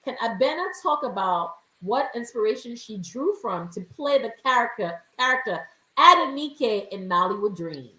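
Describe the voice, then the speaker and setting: Lively high-voiced woman host, echoey room. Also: moderate pace. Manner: eager, animated, reads viewer questions. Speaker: interview host. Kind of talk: live interview.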